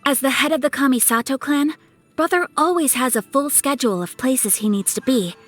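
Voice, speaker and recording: A young woman playing a refined, dutiful, gentle noblewoman in a video game, speaking with formal, courteous, measured phrasing. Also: high-pitched. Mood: earnest, wistful. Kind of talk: scripted video game character dialogue. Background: music.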